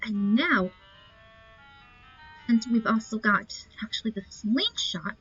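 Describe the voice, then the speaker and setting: A woman's voice: a little high, expressive, takes it slowly, a bit bright, husky. A woman giving casual commentary as she plays a game, thinking aloud in short, musing remarks. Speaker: gamer recording a playthrough. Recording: muffled lo-fi game capture. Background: game music.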